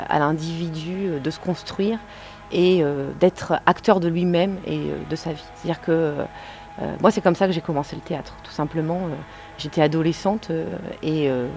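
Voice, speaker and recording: A woman talking reflectively in an unscripted interview, pausing and hesitating as she builds her thoughts. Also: on the low side, a little mellow.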